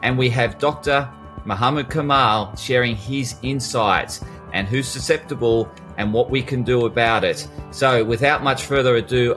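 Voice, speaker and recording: A man's voice: mid-range voice, fairly bright tone. A man hosting a podcast, introducing and questioning a guest in a wordy, meandering way. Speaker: podcast host.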